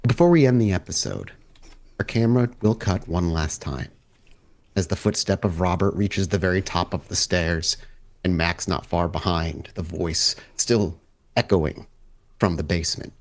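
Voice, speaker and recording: A man working as game master, narrating a tabletop roleplay in deliberate, cinematic phrases. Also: deep voice.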